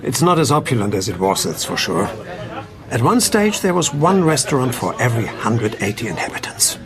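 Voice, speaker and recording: Measured doctor, lively intonation, chatter behind. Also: male voice, mid-range voice. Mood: genial. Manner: measured, formal, explanatory. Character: calm, principled male doctor at an assisted-dying clinic. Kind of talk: radio play acting.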